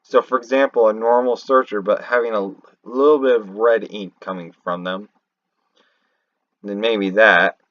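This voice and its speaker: A man giving unscripted, rambling fan commentary on a video game, thinking aloud haltingly and often correcting himself. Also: lowish voice, fairly even intonation. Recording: roomy, dull lo-fi home recording.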